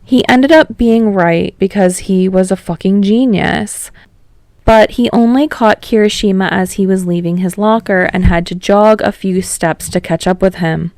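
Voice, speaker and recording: A woman's voice: mid-range voice, measured pace, a little mellow, no echo. A woman reading scripted fiction aloud as a narrator.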